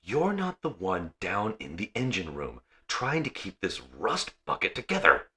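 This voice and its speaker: A blunt female engineer from a science fiction audiobook, put on by a man. She sounds frustrated and forceful, in a low register. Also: huge pitch swings, a little slow, heavily acted. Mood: angry, fed up.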